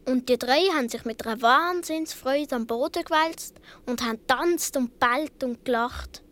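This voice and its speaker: A woman's voice: high-pitched, moderate pace, fairly bright tone. A woman playing a seven or eight year old Swiss boy, telling his story earnestly with a Swiss German accent in a radio play. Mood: wistful, recounting.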